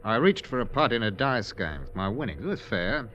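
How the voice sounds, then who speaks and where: An actor in a 1950s radio drama playing a tough, practical, wary sailor who talks in terse, blunt lines. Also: male voice, fairly low pitch, very animated, moderate pace, fairly bright tone. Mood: subdued, wary. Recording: dull, faintly noisy vintage radio recording.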